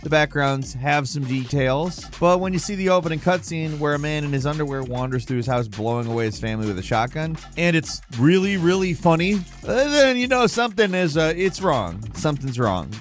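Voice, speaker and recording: A man's voice: on the high side, lively intonation, brisk. A man giving casual scripted commentary in a sardonic, conversational way, with mock emphasis. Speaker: video narrator. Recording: music bed behind voice. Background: background music.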